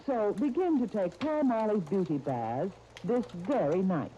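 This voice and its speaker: A woman's voice: medium pitch, very animated, unhurried, warm tone. A woman announcer reading a persuasive 1930s radio advertisement, coaxing and intimate in her direct address. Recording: dull, noisy 1939 radio disc.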